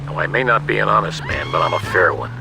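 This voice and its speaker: An actor playing a calm, threatening male crime boss making blackmail demands in a video game cutscene. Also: fairly low pitch, fast, gravelly, noisy background.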